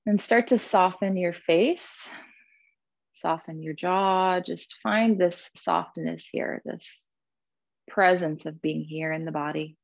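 A woman teaching a guided yoga class in a soothing, gentle, unhurried manner, cueing breath and movement. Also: lowish voice, steady tone, gravelly, no room sound, rough recording.

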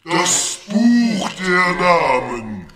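Ancient sorcerer, very slow, echoing. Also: male voice, sits high, sing-song, pushed and bright, a little hiss or hum, full character voice. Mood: imperious. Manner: slow, declamatory, pompous. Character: ancient stone sorcerer, commanding, menacing, cold. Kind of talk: audio drama acting. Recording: echoey, cavernous reverb.

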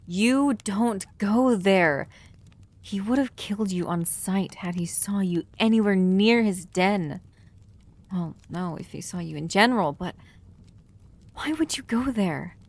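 A woman acting a protective, doting human caretaker of a vampire in an intimate, soft-spoken ASMR roleplay, often dropping toward a whisper. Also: mid-range voice, unhurried. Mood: upset, stern. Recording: clear background noise and ambience.

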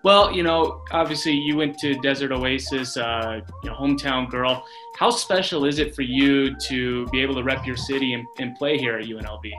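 An American man hosts a casual sports interview with a bright, crisp-edged tone. His pitch sits in the middle for a man. He is on a video call, and music plays underneath. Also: moderate pace, hardly any pauses. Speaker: sports radio announcer interviewing a player. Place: small office, indoors, on video call.

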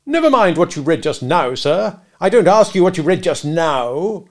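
Storybook bully played by a man: sarcastic gentleman cross-examiner, high-pitched, forceful and domineering in delivery. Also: sing-song, moderate pace. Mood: sarcastic, insistent. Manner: interrogative, sarcastic, emphatic, domineering. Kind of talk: dramatic audiobook character reading.

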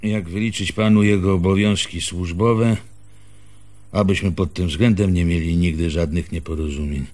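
An actor in a radio play as a pompous, officious male village schoolteacher, speaking formally and pedantically in a husky voice. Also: low voice, steady tone, normal speed, a little mellow, natural voice. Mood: officious, stern. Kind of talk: Polish radio theatre acting.

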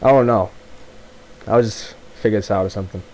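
A man's voice: on the low side, fairly quick, a little mellow. A man giving rambling, profane, casual commentary while he plays a video game. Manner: rambling, profane, laughs often. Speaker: gameplay commentator. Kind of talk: casual off-the-cuff gameplay commentary.

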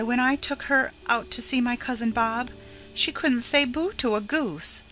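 A woman's voice: fairly high pitch, fairly bright tone, pitched above the performer's own voice, poor audio. A woman voicing a cool, bitter schoolgirl in a storybook, mocking and full of disdain. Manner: sneering, mocking.

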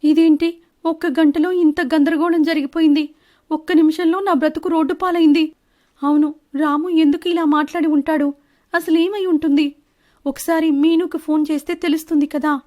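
Cartoon wife bird, played by a woman, high voice, evasive, quick to protest and act wronged. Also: fairly even intonation, darkish tone, natural voice. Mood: distressed. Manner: defensive, protesting. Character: Chinnu, deceitful married female bird, indignant and evasive. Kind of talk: animated Telugu moral-story dialogue.

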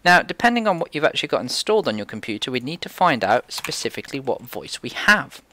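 A man's voice: mid-range voice, big pitch swings, quick pace, pushed and bright. A man presenting a casual screencast coding tutorial, informally thinking aloud as he works.

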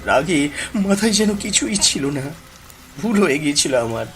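A frightened village man acted by a male voice pleads in a fairly high voice, desperate and guilty. Music and rain sit behind this horror cartoon voice. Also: very animated, moderate pace. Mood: desperate pleading.

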